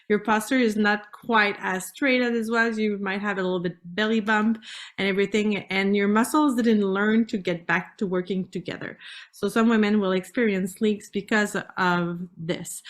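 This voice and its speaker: A woman from Canada with a French-Canadian accent, a native French speaker explaining casually as a health specialist guest, sometimes searching for words. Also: mid-range voice. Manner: hesitant, searching for words in second language. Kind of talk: casual explanatory interview talk.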